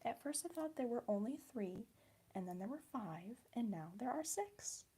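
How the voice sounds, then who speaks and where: A young woman with a Midwestern accent chatting softly for an ASMR video, slipping between whispers and a quiet, giggly voice as she answers viewers. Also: on the high side, animated, normal speed, a little hiss or hum. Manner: soft-spoken, drifting between whisper and quiet voice. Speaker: ASMR creator. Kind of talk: ASMR chat while crafting.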